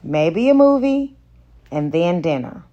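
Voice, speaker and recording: Lady reader doing sassy confident flirty woman from a romance audiobook, slow pointed lines. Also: on the low side, very animated, lo-fi sound. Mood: flirtatious.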